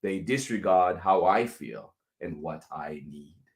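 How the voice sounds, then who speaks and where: A man reading a children's picture book aloud as a teacher would, deliberately, with pauses between phrases. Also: sits low, animated.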